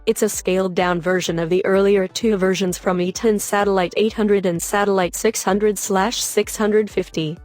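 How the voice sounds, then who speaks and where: A woman's voice: medium pitch, fairly even intonation, moderate pace, few pauses, a little mellow, no room sound. A woman reading scripted narration as the voice-over for a product review. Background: background music.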